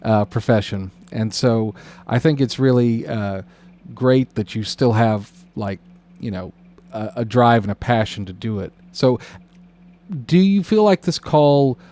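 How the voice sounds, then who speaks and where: A man hosting a casual podcast interview, talking in a halting, rambling way with roundabout questions. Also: medium pitch, animated, warm tone.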